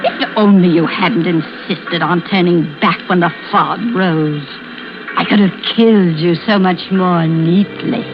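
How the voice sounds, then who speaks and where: An actress playing a murderous, scheming widow who taunts with false helplessness in an old-time radio suspense drama. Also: female voice, on the low side, steady tone, close to an everyday voice. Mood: mock sorrow. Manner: taunting, theatrical, drawn-out monologue. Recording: muffled vintage radio recording with music.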